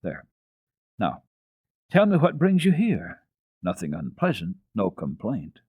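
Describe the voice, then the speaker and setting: Gracious older storybook lady, played by a male reader: husky, courteous, polite, dry sound. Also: on the low side, huge pitch swings, slow, no echo, phone-quality sound, heavily acted. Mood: cordial.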